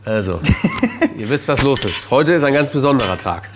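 Cooking show host, man in his thirties, animated pitch, chatty, faint pan clatter behind. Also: moderate pace, background noise. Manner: chatty, lively, addresses viewers directly. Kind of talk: casual cooking show chat. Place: studio kitchen set, indoors. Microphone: lapel mic.

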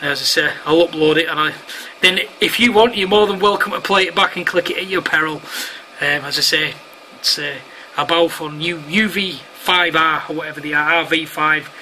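A man's voice: fairly high pitch, steady tone, moderate pace, bright, forceful tone. A man chatting casually over two-way radio in matey slang and radio jargon. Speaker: amateur radio operator filming for online videos. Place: indoors at home, at a radio set. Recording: clearly noisy room recording of radio chat.

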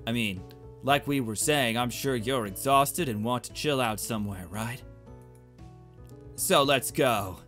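A man's voice: mid-range voice, unhurried, fairly bright tone, lightly acted. A man voicing a flippant, evasive teenage schoolgirl from a visual novel, with breezy, exasperated teen talk. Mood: impatient. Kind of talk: visual novel character read aloud. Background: game music.

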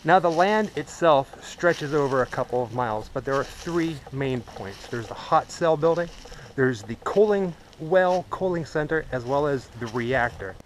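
A young man casually talking to a handheld camera while exploring woods and dark concrete ruins, swearing now and then. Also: a little high, animated, normal speed. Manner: chatty, swears when startled. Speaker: urban explorer vlogger. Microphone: handheld action camera. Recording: noisy outdoor camera audio.

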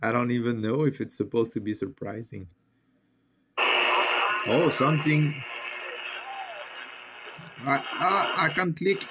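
A man's voice: mid-range voice, takes it slowly, long pauses. A man chatting casually and off the cuff as he tests a radio, rambling to himself in slangy talk. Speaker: shortwave radio hobbyist filming a scanning video. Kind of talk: casual off-the-cuff chat while testing a radio. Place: indoors at a desk. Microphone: handheld phone. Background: shortwave radio noise.

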